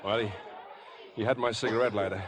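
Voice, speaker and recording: A man's voice: fairly low pitch, fairly even intonation, fairly quick, a crowd in the background, natural voice. An actor playing an earnest young man wrongly suspected of murder, speaking in clipped 1950s radio suspense style. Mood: urgent, pleading. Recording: vintage 1950s radio broadcast.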